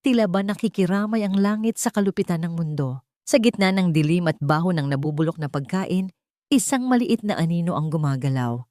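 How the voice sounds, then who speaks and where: Female storyteller, lower register, dry close sound, emotional dramatic reading. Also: fairly quick, dark tone, no echo. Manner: emotional, rising for tense moments. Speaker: narrator.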